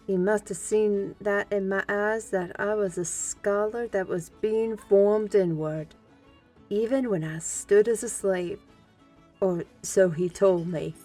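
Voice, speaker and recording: A woman playing an earnest former slave turned scholar in an audio drama, telling a life story in a grave, deliberate way. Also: medium pitch, darkish tone, close to an everyday voice.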